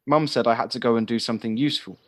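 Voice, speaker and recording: A man's voice: medium pitch, fairly even intonation, racing, no room sound, natural voice. A man acting a frank, earnest boyish village lad in an audio play, recorded at home. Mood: glum. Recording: home recording on personal device, faint noise.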